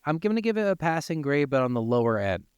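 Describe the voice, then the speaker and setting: A man's voice: a little high, big pitch swings, fast, clear, resonant tone, dry recording, highs cut off. A man co-hosting a podcast, talking casually and profanely about video games. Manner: informal, freely profane. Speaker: podcast co-host. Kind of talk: casual video game discussion.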